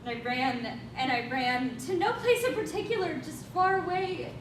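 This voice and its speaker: A distant, echoey stage recording with clear rumbling noise. A woman plays a young, defensive, guilt-ridden woman with a high-pitched voice, telling her story in an emphatic, confessional manner. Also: normal speed, natural voice. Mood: reminiscing. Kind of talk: staged reading, dramatic monologue.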